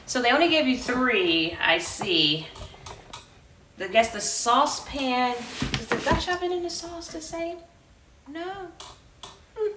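A woman's voice: high-pitched, animated, slow, long pauses. An American woman in her thirties giving casual, playful, chatty unboxing commentary in a living room, recorded from across the room. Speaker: vlogger. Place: carpeted living room indoors. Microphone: camera a few meters away. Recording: distant echoey room, loud clattering handling noise.

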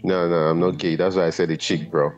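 A man's voice: low voice, level tone, brisk, muffled recording, over music. A man from Nigeria chatting casually as a caller on a voice chat app.

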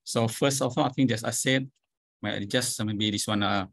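A middle-aged man presenting at an online academic panel, halting and full of fillers. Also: medium pitch, fairly even intonation, creaky, a bit bright, no echo, studio quality. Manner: halting, frequent fillers and restarts. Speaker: panel speaker, professor. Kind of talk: conference presentation. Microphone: video call.

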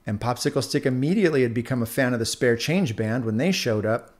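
A man narrating a scripted children's story aloud in an expressive storyteller's manner. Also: mid-range voice, fast talker. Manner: expressive, animated.

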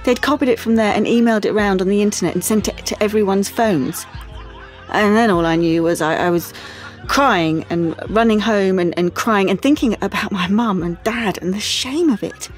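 An actress playing a sensitive teenage art student, confiding haltingly in an audio drama monologue. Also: female voice, mid-range voice, faint music behind, close to an everyday voice. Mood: anguished.

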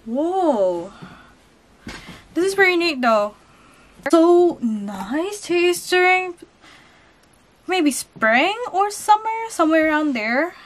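A young woman with a cold, chatting excitedly as she unboxes and shows clothes in a haul video. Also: very high voice, slow pace, long pauses. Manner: chatty and excitable, chuckles at prices. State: has a cold. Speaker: channel host unboxing a lucky bag. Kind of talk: casual haul vlog voice-over. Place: indoors at a table.

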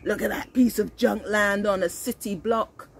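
Woman reading as a gruff old man. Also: medium pitch, fairly even intonation, normal speed. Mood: grumbling. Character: gruff, grumbling old man, hard as nails. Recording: outdoor garden recording with faint noise.

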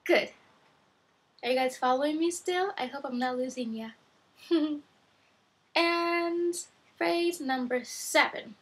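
A young woman whose first language is Japanese, teaching simple phrases in a casual video lesson from her bedroom, speaking slowly and repeating each phrase twice on a webcam mic. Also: high voice. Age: young adult. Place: bedroom, indoors. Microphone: webcam at desk.

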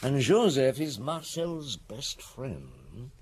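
An actor playing a middle-aged chief inspector in a radio drama, measured and gently coaxing as he questions, with murmured hums and pauses. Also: mid-range voice, big pitch swings. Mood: pensive. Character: patient, probing middle-aged French police chief inspector. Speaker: detective interrogating a witness.

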